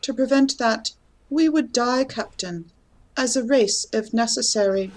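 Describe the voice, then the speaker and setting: A woman playing a solemn, unyielding female alien councillor in a sci-fi fan audio drama, speaking formally and gravely. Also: fairly high pitch, a little slow, heavy background noise, close to an everyday voice. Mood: solemn, resolute.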